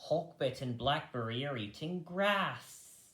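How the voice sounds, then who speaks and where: A man reading a children's story aloud, a little halting, going back over misread phrases. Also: fairly high pitch, animated, fairly bright tone, reverberant. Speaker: storybook reader.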